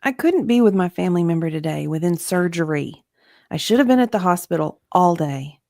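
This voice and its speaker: A woman's voice: on the low side, lively intonation, fairly quick, creaky, warm tone, dry recording, clean studio sound. A woman reading the part of an aggrieved, intimidated journalist giving hesitant testimony as a witness in a deposition. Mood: hurt. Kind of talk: dramatic reading of a legal deposition transcript.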